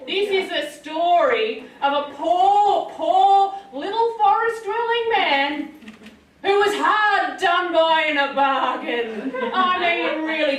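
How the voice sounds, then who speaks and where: A cheeky little forest man with an Australian accent and a very high, piping voice, put on by a woman. He rambles in wry, self-pitying asides. The voice rings in an echoey room, heard from some way off the mic. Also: recorded from a distance, full character voice. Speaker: storyteller. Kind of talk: live comic storytelling in character.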